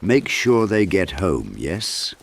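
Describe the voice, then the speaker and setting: Casting: courtly, kindly knight for an audiobook, acted by a man, low voice, gentle and whimsical. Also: very animated, slow, vocal fry, heavily acted. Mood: gently instructing. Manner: courtly, benign. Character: gallant, kindly older male knight with fussy storybook warmth.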